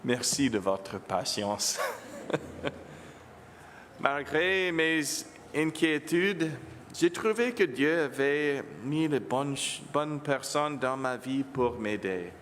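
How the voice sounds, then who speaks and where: A priest whose first language is English, speaking French with an English accent, giving a homily to children in a halting manner and slipping English words in. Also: male voice, medium pitch, animated, a bit bright, in a large hall, slight background noise.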